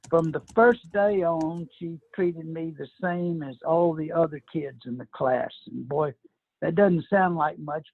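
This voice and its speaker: Older man, gravelly and husky, fond school memories, dry phone-line sound. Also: on the high side, no room sound. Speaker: panel guest. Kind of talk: personal storytelling.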